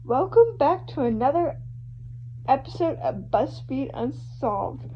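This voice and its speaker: A woman's voice: sits high, big pitch swings, slow, exaggerated voice. A woman voicing an eager male true-crime show host, telling the case in an emphatic, conversational way that builds suspense. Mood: intrigued. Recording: muffled lo-fi home recording with hum.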